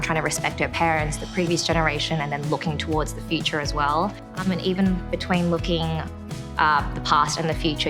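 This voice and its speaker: A woman explains things in a measured, reflective way. Her voice is low and stays quite level, with music clearly playing underneath. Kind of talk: explanatory interview.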